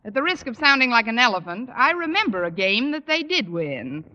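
An actress playing a wisecracking middle-aged high school teacher with dry, deadpan sarcasm in a 1950s radio sitcom. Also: female voice, fairly quick, lo-fi sound. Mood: wry. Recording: noisy old radio broadcast recording.

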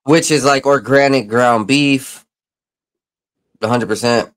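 A man's voice: medium pitch, slow pace, a bit bright. A man hosting a casual chat, hesitant and full of fillers like "I mean" and "like".

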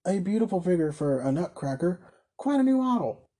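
A man's voice: high-pitched, sing-song, brisk, dark tone. A man voicing a meek, dreamy toymaker in a storybook reading, deferential and full of quaint wonder. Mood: wondering, admiring.

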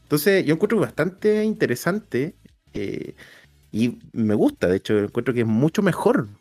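A man's voice: fairly high pitch, sing-song, moderate pace, darkish tone, faint music behind. A man with a Chilean Spanish accent, chatting casually as an interview host, colloquial and often correcting himself as he spells out examples.

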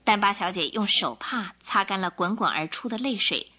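A woman narrating a radio drama as a scripted story, in a dramatic, expressive storytelling manner. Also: mid-range voice, normal speed, husky.

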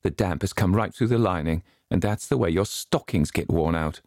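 A man's voice: low voice, normal speed, darkish tone, dry recording, studio quality. A man voicing a strict, cross governess who scolds a boy in a fussy way. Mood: scolding.

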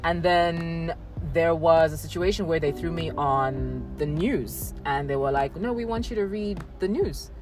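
A young woman with a deep, low voice talks casually as a podcast guest. She tells her stories in an animated way, acting out what people said to her. Prominent music plays behind her. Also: unhurried, hardly any pauses. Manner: animated storytelling, acting out quoted dialogue. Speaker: podcast guest, radio presenter. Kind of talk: casual conversational interview. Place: parked at a mall car park.

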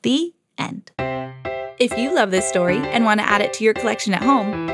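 A mother hosting her own podcast, reading a children's storybook aloud with lively jumps for the quoted lines. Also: mid-range voice, moderate pace, music in the background. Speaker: mom reading to her kids, podcast host.

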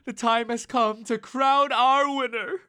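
A man's voice: very high-pitched, steady tone, a little slow, pushed and bright, smooth, exaggerated voice. An actor playing a slick, glib male game show host with a showy announcer delivery in an audio drama.

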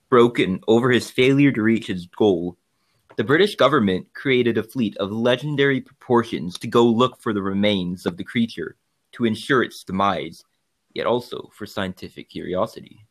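Low-pitched male podcast narrator. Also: moderate pace. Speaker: podcast co-host. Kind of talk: scripted formal narration.

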